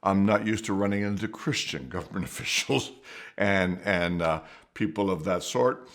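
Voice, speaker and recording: A man's voice: low voice, normal speed, a bit bright. A middle-aged man telling a personal story at home in a rambling, conversational way, often restarting his sentences and adding asides. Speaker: storyteller, mission worker. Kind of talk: casual spoken anecdote.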